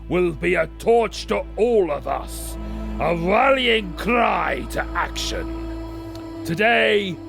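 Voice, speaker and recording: A man's voice: sits high, vocal fry, full character voice. A man playing a solemn church pastor in an audio drama, speaking in weighty, ceremonial oratory. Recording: music behind the voice.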